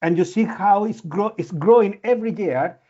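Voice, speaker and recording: A man's voice: high voice, moderate pace, dark tone. Man. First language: Spanish. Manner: earnest, frequent restarts and repeated words. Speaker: medical imaging researcher and physician giving a seminar. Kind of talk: lecture with slides. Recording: dull lo-fi audio.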